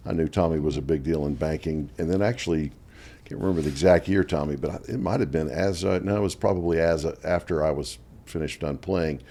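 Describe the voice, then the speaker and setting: A man's voice: rumbling low, a little fast. A man in his fifties, a longtime play-by-play announcer, chatting in an interview and telling stories with frequent laughs. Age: 50s. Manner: animated storyteller who laughs often. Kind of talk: casual interview chat.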